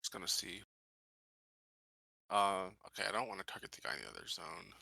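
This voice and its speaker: A man's voice: sits low, animated, a little fast, vocal fry, bright, forceful tone, breathy edge, dry recording, studio quality. A man chatting casually as a player in a tabletop roleplaying game.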